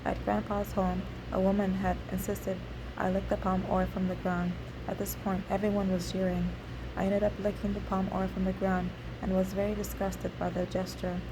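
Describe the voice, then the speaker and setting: Flat-toned woman reading her memoir. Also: medium pitch, background noise. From Nigeria. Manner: steady, unhurried reading with occasional restarts. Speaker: memoir narrator.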